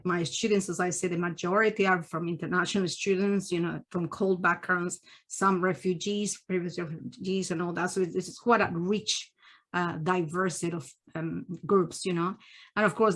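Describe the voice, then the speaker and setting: A woman's voice: lowish voice, fairly bright tone. A middle-aged woman from Peru with a Peruvian Spanish accent, interviewing in an enthusiastic, self-correcting conversational way. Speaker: interviewer, family therapist.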